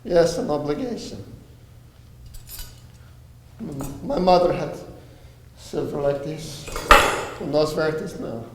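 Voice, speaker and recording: A stage performer playing a proud, insistent war survivor in a play. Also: female voice, low-pitched, lively intonation, takes it slowly, long pauses, noisy background. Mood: indignant. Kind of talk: live stage play dialogue. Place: theatre stage, recorded from a distance. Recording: echoey hall from afar.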